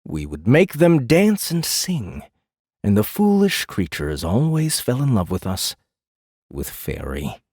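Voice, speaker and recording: An actor playing a weary, haughty fae jailer in an audiobook drama, brooding and disdainful in a measured way. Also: male voice, medium pitch, wildly swooping pitch, darkish tone, breathy edge, no room sound, studio quality, full character voice. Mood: wistful, detached.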